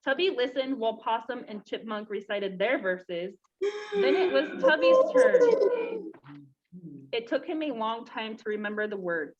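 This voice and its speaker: A high-pitched woman speaks as a children's storytime reader, expressive and directed at young listeners. The recording is muffled, with clear noise behind her. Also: measured pace. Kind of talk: read-aloud children's story, then casual chat with children. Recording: dull lo-fi sound, clear background noise.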